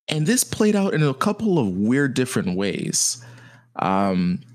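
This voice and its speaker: A young adult man speaking in a thoughtful, conversational monologue, often pausing with "you know". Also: mid-range voice, big pitch swings, darkish tone, no room sound, clean studio sound. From Jamaican heritage, grew up partly in America. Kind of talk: personal reflective monologue.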